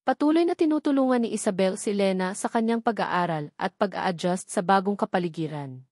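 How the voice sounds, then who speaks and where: A woman narrator tells a scripted dramatic story. Her voice sits at a middling pitch for a woman. The recording is dry and close, with no room sound. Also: fairly quick, darkish tone, dry recording.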